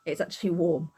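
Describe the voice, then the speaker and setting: A middle-aged woman, croaky from a frog in her throat, chatting to camera in a rambling way full of ums and throat clearing. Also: lowish voice, dark tone. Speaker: book and art YouTuber. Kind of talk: casual chat to camera.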